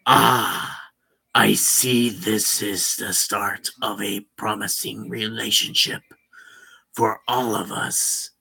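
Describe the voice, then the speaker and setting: A streamer voicing a calm adult man character in a visual novel, reading his lines slowly and quietly. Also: mid-range voice, gravelly, slightly rough, pitched below the performer's own voice, full character voice. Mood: pleased.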